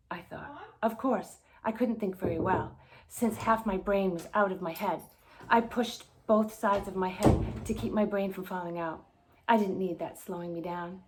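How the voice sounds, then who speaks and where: A woman reading a children's spooky story aloud in an expressive storybook style. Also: medium pitch, a little hiss or hum. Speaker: guest story reader.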